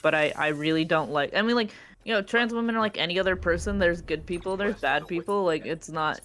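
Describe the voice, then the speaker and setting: A co-host who speaks English as a second language, rambling with many restarts in casual stream chat. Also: female voice, fairly low pitch, brisk, a bit bright, a little hiss or hum. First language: not English.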